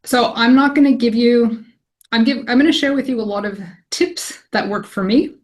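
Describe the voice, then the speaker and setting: Canadian woman giving a relaxed work talk. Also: fairly high pitch, moderate pace. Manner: conversational, frequent ums, self-deprecating. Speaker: academic statistician presenting a talk. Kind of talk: informal presentation to colleagues.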